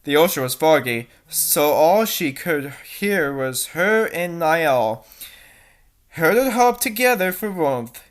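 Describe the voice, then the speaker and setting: A woman reads fan fiction aloud in a theatrical, mocking way. Her voice sits a little low and is forceful, with a slow pace and big swings in pitch. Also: animated. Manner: theatrical, sarcastic. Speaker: narrator and commentator. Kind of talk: mocking dramatic fanfic reading.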